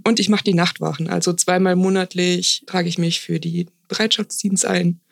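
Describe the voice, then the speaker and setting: A woman's voice: on the low side, steady tone, normal speed, creaky, no echo, clean studio sound. A woman in her early thirties answering interview questions in a casual, conversational way. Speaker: podcast guest, hospice volunteer.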